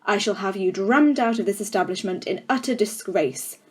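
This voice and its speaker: A woman's voice: mid-range voice, moderate pace, breathy edge, natural voice. Storybook villain: menacing, scornful school tyrant played by a woman reader, domineering and emphatic. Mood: furious. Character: tyrannical, child-hating, menacing adult headmistress.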